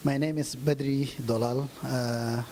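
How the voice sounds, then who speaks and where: A man from Ethiopia, a community leader answering interview questions earnestly with frequent pauses. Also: medium pitch, fairly even intonation, mellow, slight background noise.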